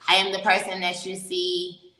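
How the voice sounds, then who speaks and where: A young woman from Miami talks as an interview guest, frank and chatty, telling her story with easy laughs. Her voice is bright and forward. She sits back from the mic in an echoey room. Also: fairly low pitch, monotone, moderate pace, distant mic, soft background music. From Miami, Florida. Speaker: guest, professional dancer. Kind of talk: casual interview chat.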